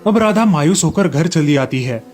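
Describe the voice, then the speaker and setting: A man's voice: a little high, lively intonation, moderate pace, a little mellow, soft background music. A man reading scripted narration for children's moral stories as the storyteller.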